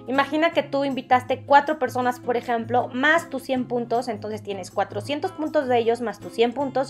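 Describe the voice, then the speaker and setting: High-voiced Mexican woman explaining enthusiastically over music. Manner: enthusiastic, chatty, addresses the listener directly. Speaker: network marketing recruiter and presenter. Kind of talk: casual explainer voice-over for drawn whiteboard video.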